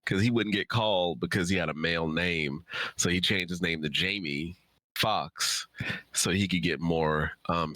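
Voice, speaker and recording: A man's voice: deep voice, fairly bright tone, dry recording. A man co-hosting a live Q&A in casual chat, explaining things informally through anecdotes. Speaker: co-host of a live Q&A.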